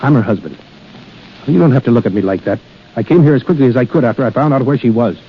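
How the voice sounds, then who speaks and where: Anxious soldier husband, very wide-swinging pitch, acted. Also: male voice, lively intonation, quick pace, a little hiss or hum, natural voice. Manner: urgent, earnest, emotional. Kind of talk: 1930s radio drama acting. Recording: muffled 1930s radio recording with music.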